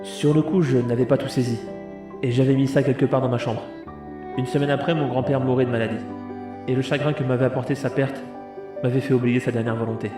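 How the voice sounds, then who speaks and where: A man's voice: mid-range voice, fairly even intonation, a little fast, music in the background, close to an everyday voice. A man playing a determined, thoughtful young man in a scripted audio drama. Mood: reflective. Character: determined young man telling and living his story. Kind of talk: scripted audio drama, narration and muttering to himself.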